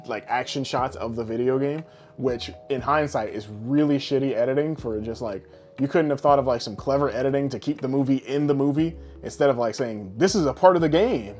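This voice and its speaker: Male podcast co-host, mid-pitched, casual and profane, joking banter with music behind. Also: a little fast, darkish tone. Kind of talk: casual banter about films and games.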